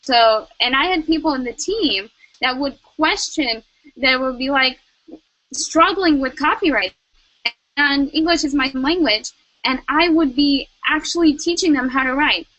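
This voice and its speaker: A young woman delivers motivational advice in a high, bright voice. She is emphatic and repeats her key lines for effect. The sound is close and dry with no room around it. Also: unhurried, no echo, poor audio. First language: Russian. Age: young adult. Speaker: network marketing co-host. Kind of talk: motivational talk.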